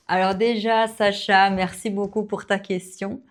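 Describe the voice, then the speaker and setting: Woman, French teacher, vlog host, unhurried pace, casual chat, soft fillers. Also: medium pitch. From Brazil. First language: Portuguese. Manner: slow, warm, relaxed, with frequent fillers and little laughs. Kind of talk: casual Q&A to camera.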